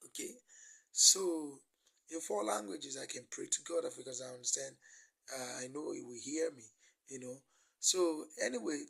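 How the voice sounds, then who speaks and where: Man, Nigerian accent, native Yoruba speaker, creaky raspy voice, rambling, repetitive, off-the-cuff lay preaching. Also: fairly high pitch, a bit bright, rough recording. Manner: rambling, repetitive, often saying "you know". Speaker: lay preacher livestreaming to followers.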